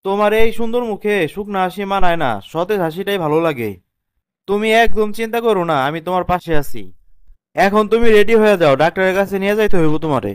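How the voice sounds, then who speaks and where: A man's voice: sits high, fast, clear, resonant tone, no echo, close to an everyday voice. A man playing an earnest, protective young husband in a cartoon, reasoning and lecturing in a colloquial Bangladeshi accent. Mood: caring, reassuring. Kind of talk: cartoon drama dialogue.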